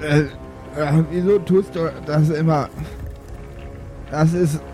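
A man's voice: on the high side, steady tone, slow, music in the background. A man playing a tabletop role-playing game, grumbling casually in character.

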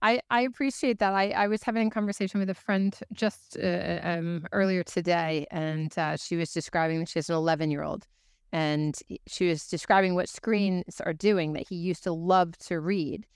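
Female interview host, American, upbeat and conversational, crisp clean voice in a dry studio sound. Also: fairly low pitch, fairly even intonation, moderate pace, dry recording. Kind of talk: hosting an interview show.

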